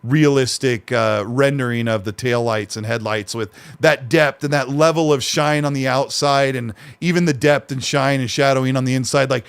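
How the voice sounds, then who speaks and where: A man's voice: mid-range voice, normal speed, few pauses, clear, resonant tone, no echo. Dry-sounding chatty podcast guy. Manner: rambling, hesitant with fillers and restarts. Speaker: podcast co-host. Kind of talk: casual gaming podcast chat.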